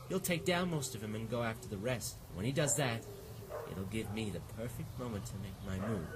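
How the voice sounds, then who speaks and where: An actor playing a mysterious, coldly ambitious schemer brooding in a 1950s-style radio drama. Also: male voice, lowish voice, noisy background, natural voice. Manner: brooding villainous monologue.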